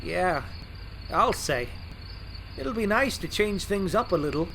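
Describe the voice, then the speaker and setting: A man's voice: sits high, animated, unhurried, creaky, sharp tone, a little hiss or hum, heavily acted, rough recording. A man playing a timid, polite talking engine who hesitates and stammers, in an audio drama. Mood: uneasy. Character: nervous, timid, polite young male engine. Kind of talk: audio drama character acting. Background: music, night insects.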